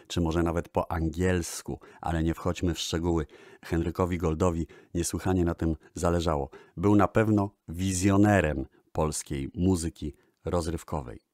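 A man's voice: deep voice, darkish tone, dry recording, studio quality. A man presenting music history in a radio style, formally and eloquently, addressing his audience politely. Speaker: host presenting archive records.

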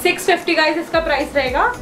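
A woman's voice: very high-pitched, quick pace, music in the background. A young woman hosting a casual product showcase, chatty and agreeable, mixing in English words. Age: young adult. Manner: chatty, echoes and affirms the seller, mixes in English words. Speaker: video host. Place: small indoor shop room with piled clothes. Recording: noisy, echoey room.

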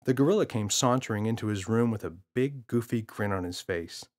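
A man's voice: lowish voice, a little fast, a little mellow. A man narrating a children's audiobook as a storyteller.

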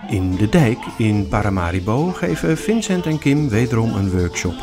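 A man reading a scripted travel voice-over in an informative, steady way. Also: lowish voice, moderate pace, soft background music. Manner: informative, reads facts steadily. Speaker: vlog narrator.

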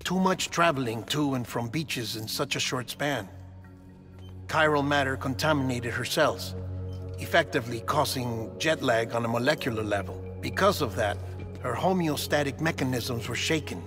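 A middle-aged man playing an earnest doctor and team official in a video game, gravelly and explanatory. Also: medium pitch, moderate pace, a bit bright, lightly acted. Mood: grave, reassuring. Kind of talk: cinematic video game acting. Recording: long echoing reverb, faint music.

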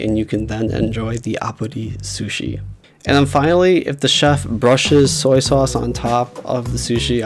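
A young man in his twenties giving relaxed, conversational tips to the camera from a small room at home, talking into a desk mic. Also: mid-range voice. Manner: relaxed, conversational, slangy, sometimes dry and drawn-out. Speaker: YouTuber giving food tips. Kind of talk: casual explainer talking to camera. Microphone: desk mic with furry windscreen. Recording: clear guitar music under the voice. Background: guitar music.

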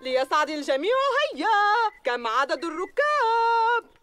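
A bustling rabbit lady, cartoon dub, high bright voice, wildly swooping pitch, brisk. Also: sing-song, faint music behind. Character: bossy busy rabbit lady running a fair.

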